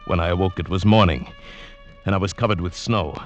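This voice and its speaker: An actor playing an earnest, superstitious South American mountain guide telling his perilous adventure in a measured, dramatic 1940s radio style. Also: low voice, natural voice. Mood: hushed wonder. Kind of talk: 1940s-50s radio drama acting and narration. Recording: vintage radio recording with music.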